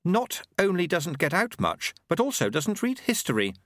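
Man voicing a high-pitched, brisk, sardonic woman. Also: huge pitch swings, a bit bright, no room sound, exaggerated voice. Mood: glum, dry. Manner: brisk, dry, sarcastic. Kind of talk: audiobook character acting.

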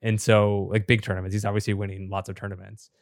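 A slightly raspy, low-voiced man who is a bit sick and losing his voice. He explains at length in a chatty, filler-heavy podcast style. His delivery stays flat, with very little rise and fall. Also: fast, no room sound, clean studio sound. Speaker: podcast co-host and top competitive player.

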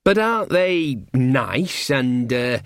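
Male reader as simple blacksmith son, earnest, plain-spoken, very lively intonation, audiobook. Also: medium pitch, slow, clear, resonant tone, no echo, studio quality. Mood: puzzled. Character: simple, sturdy grown blacksmith son, confident. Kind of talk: audiobook character acting.

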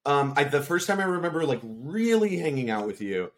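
A man's voice: on the high side, sing-song, brisk. A man in casual podcast chat, talking in a meandering, reflective way.

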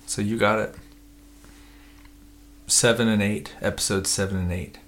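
Low gravelly guy co-hosting a podcast, relaxed and chatty. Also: measured pace. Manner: relaxed, chatty, self-deprecating. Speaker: podcast co-host. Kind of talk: casual chat.

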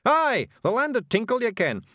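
Brash tiny Scottish fairy warrior, high-pitched. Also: male voice, moderate pace, no room sound, studio quality, heavily acted. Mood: blustering. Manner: excitable, loud, blunt. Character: tiny brash male Feegle fairy warrior leader, urgent and blustering. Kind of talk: audiobook character voice.

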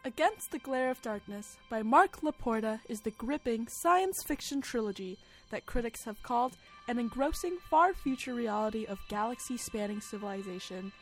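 A woman's voice: on the high side, expressive, normal speed, soft background music, low-quality recording. A woman announcer giving a scripted promotional read. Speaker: advertisement announcer.

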